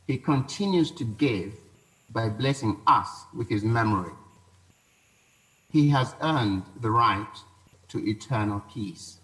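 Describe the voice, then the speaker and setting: A man's voice: fairly low pitch, expressive, takes it slowly, long pauses, fairly bright tone, slight background noise, low-quality recording. Man reading a formal funeral eulogy.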